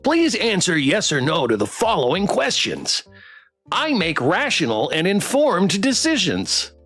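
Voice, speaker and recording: Man doing a high-pitched, snippy plushie pet. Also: huge pitch swings, a little slow, fairly bright tone, slightly rough, soft background music, heavily acted. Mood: officious. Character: snippy, condescending talking plushie toy pet with big eyes. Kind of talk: visual novel character read aloud.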